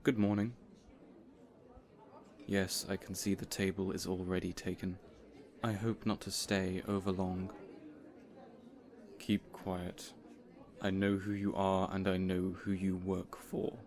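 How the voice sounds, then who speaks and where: A man playing a cold, menacing supervillain in an audio roleplay, speaking in a measured, formal, quietly threatening way. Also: deep voice, little pitch movement. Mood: cold menace.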